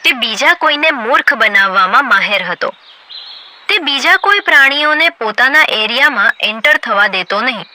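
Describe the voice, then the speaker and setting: A woman narrating a children's cartoon story in a clear storytelling manner. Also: on the high side, animated, moderate pace, background noise. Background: background music.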